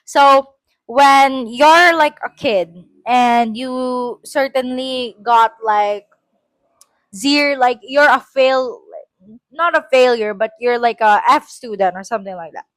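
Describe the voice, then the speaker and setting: A woman's voice: sits high, slow, a bit bright, no echo, low-quality recording. Chatty Filipino woman reading her essay. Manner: chatty, self-correcting, laughs at herself. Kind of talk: reading an essay aloud with casual asides.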